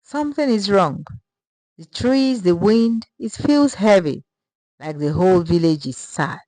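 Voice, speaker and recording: A woman's voice: medium pitch, expressive, measured pace, dark tone, no echo, natural voice, rough recording. A woman voicing an earnest young prince, nervous but determined, in a folktale. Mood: uneasy.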